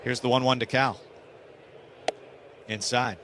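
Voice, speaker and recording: A man's voice: medium pitch, fairly even intonation, slow, noisy background. A man with an American accent calling live baseball play-by-play on radio in short, clipped phrases.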